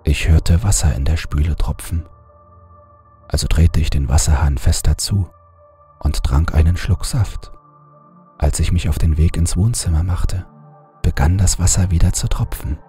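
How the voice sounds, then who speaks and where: A man reading a horror story aloud in a calm, measured first-person narration. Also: very deep voice, faint music behind.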